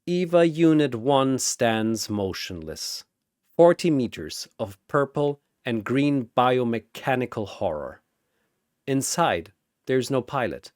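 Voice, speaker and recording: Man, dramatic battle narrator, theatrical, slow and deliberate, very animated pitch, dry close sound. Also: dry recording.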